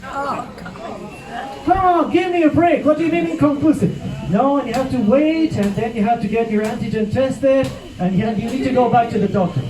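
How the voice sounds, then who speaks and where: High-voiced Mexican man doing stand-up. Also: moderate pace. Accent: Mexican Spanish. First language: Spanish. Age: 50s. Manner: animated, loud punchlines to a crowd. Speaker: stand-up comedian. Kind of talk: stand-up comedy set. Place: comedy venue with live audience. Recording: roomy venue sound from a distant mic with crowd.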